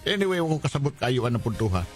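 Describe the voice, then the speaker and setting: A man's voice: medium pitch, sing-song, quick pace. A man with a Cebuano accent hosting a radio show, chatting casually with callers. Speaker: radio host. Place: radio studio. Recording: music playing behind the voice. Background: music.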